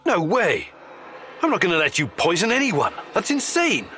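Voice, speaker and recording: A man's voice: high-pitched, huge pitch swings, moderate pace, sharp tone, soft background music, exaggerated voice. An actor playing a tough, blunt, skeptical male protagonist in a video game, confrontational and sardonic. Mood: indignant. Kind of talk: video game dialogue acting.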